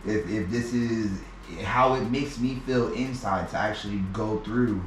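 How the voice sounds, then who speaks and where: A man's voice: fairly low pitch, slight background noise. Man telling his story in an echoey room. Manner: halting, many restarts and repeated words. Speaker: interview guest. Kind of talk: personal storytelling in conversation. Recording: echoey room, mic at a distance.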